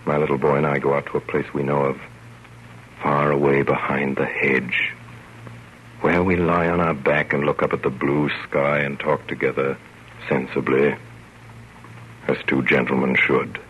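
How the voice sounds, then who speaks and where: A fond, wry father narrates an old radio story in a very deep, measured, theatrical voice. The sound is muffled with faint noise. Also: little pitch movement. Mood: wry narration.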